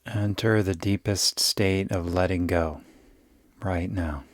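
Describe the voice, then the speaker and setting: A man leading a guided yoga nidra meditation, giving gentle, soothing instructions in an unhurried way. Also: low-pitched, steady tone, no room sound.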